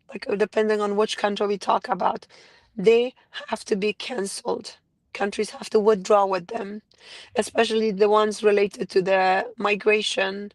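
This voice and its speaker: A woman from Afghanistan speaking earnestly as an interview guest, pressing her points and often restarting her sentences. Her voice sits a little high but stays flat and even. The sound is dry and close. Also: no echo. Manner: earnest, insistent, with frequent restarts. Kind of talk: interview, political commentary.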